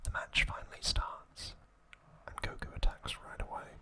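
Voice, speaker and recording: A man whispering softly in short, measured phrases as he narrates an ASMR recap. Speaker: ASMR channel host.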